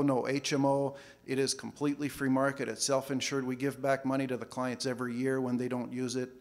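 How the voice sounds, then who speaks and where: Conference speaker who repeats words. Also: male voice, on the high side. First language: not English. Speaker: conference speaker, financial planner. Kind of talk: conference presentation. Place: conference hall.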